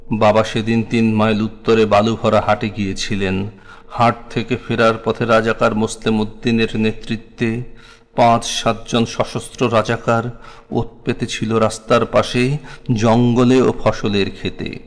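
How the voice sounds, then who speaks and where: Bangladeshi man reciting in long reverb. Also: on the low side. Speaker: narrator of a war memoir. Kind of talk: scripted dramatic recitation.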